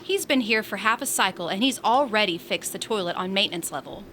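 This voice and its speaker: A woman's voice: medium pitch, lively intonation, brisk, bright, forceful tone, slight background noise. A woman playing a teasing, feisty space station resident in a science fiction audio drama, full of sassy banter. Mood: playfully blunt.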